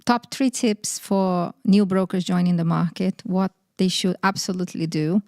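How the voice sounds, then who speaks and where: A woman co-hosting a podcast interview, asking direct and brisk questions. Her voice sits low and has a gravelly fry to it. The sound is dry and close, with no room echo. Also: steady tone, darkish tone. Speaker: co-host.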